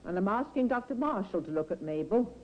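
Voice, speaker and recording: A woman's voice: medium pitch, lively intonation, fairly quick, natural voice. A woman playing a fussing, stubborn, protective middle-aged mother in a 1950s radio drama. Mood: firm, stubborn. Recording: dull old radio recording.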